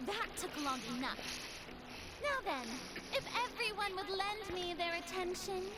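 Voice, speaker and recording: A woman's voice: very high-pitched, lively intonation, measured pace, a crowd in the background, rough recording. A woman playing a haughty, dramatic young lady prosecutor in a video game, speaking in a smug, theatrical way. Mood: smug, accusing.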